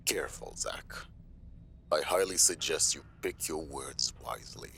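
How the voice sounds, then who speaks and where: An extremely low, creaky voice from a man acting a sadistic mobster in an audio drama. He is smug and mocking, savoring each threat. Faint noise sits behind the recording. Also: unhurried, bright, forceful tone, exaggerated voice. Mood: smug, menacing. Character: sadistic, taunting mobster villain, smug and theatrical. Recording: noticeable hiss and background noise.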